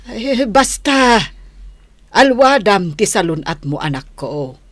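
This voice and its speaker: An actress with an Ilocano accent playing a tender, worried mother gently chiding her son in a radio drama. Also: mid-range voice, very animated, takes it slowly, dry recording. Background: music bed.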